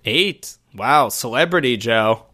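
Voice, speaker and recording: A man's voice: medium pitch, animated, slow, sharp tone. A man co-hosting a casual podcast who rambles through lists, laughs often and swears now and then. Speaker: podcast co-host.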